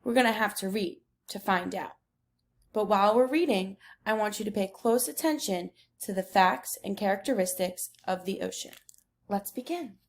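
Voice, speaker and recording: A woman's voice: mid-range voice, moderate pace. A woman speaking as a teacher during a picture book read-aloud, putting instructive questions to children.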